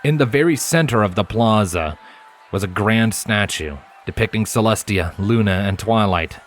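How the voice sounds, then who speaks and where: A man's voice: on the low side, normal speed, soft background music. A man reading a story aloud as scripted narration. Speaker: fan fiction narrator.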